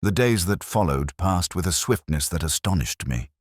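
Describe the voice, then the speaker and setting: A man reading a first-person detective story as narrator, in a formal, measured storytelling manner. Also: sits low, lively intonation, no echo, clean studio sound. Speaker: audiobook narrator.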